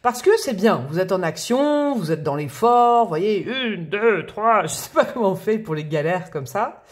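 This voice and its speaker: A woman doing a casual, chatty tarot reading to camera, with expressive, playful emphasis. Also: medium pitch, moderate pace. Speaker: tarot reader.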